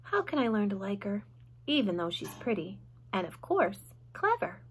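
A woman voicing an innocent, gentle young male pig who speaks slowly and plaintively. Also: mid-range voice, sing-song, a little hiss or hum, natural voice. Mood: doubtful. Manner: slow, drawn-out and plaintive.

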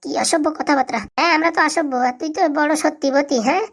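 A woman plays a vengeful village uncle in a cartoon comedy. The words tumble out very fast, scolding, indignant and ready with threats. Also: high voice, fairly even intonation. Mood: furious. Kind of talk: comic cartoon dialogue.

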